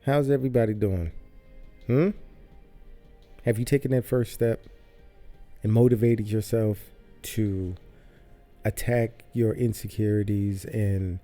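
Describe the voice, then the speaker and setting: A man's voice: on the low side, steady tone, takes it slowly, long pauses, dark tone, faint music behind. A man hosting his own podcast, talking loosely in a rambling way and often repeating himself. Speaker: podcast host. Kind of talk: casual solo podcast monologue. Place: home studio.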